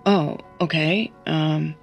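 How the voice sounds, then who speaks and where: A woman's voice: deep voice, fairly even intonation, slow, creaky, muffled recording, soft background music, full character voice. A woman playing an awkward, earnest, chatty woman in an audio drama, hesitant in how she talks. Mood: confused.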